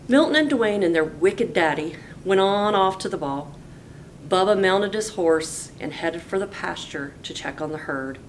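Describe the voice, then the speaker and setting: A woman teacher reading a picture book aloud in a folksy, animated storytelling way. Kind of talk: expressive storybook read-aloud. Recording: noisy with low rumble.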